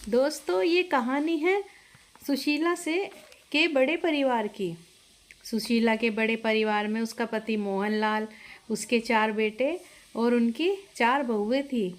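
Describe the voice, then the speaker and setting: A woman's voice: fairly high pitch, fairly even intonation, takes it slowly. A woman reading a scripted family story as its narrator.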